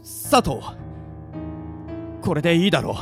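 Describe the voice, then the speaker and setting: A man plays a meek but stubborn young man in a radio drama death game. He speaks politely and haltingly in a high, wavering-pitched voice, slowly, with music clearly behind him. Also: very animated, a bit bright, heavily acted. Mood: uneasy, defensive. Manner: hesitant stammer, polite but defiant. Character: timid-looking, polite, stammering young male contestant. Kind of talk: amateur audio drama acting.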